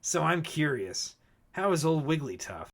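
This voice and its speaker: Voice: mid-range voice, very animated, unhurried. A voice actor playing a brash, cynical veteran Pokemon explorer in a comic dub, talking in a cocky, slangy, needling way. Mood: sly, knowing. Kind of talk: animated comic dub acting.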